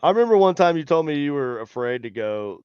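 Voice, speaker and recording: A man's voice: on the high side, sing-song, fairly quick, smooth, no echo, muffled recording. A man with a Southern US accent co-hosting a casual podcast chat, talking animatedly with stammered restarts and chuckles. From American South. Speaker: podcast co-host.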